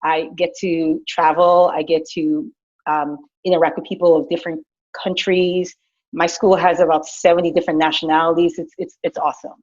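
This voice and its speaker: A woman's voice: low voice, moderate pace. Chatty woman on a dull lo-fi recording. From Guyana. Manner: lively, chatty, many fillers and restarts. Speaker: director of innovation at a school. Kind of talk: personal leadership story, casual.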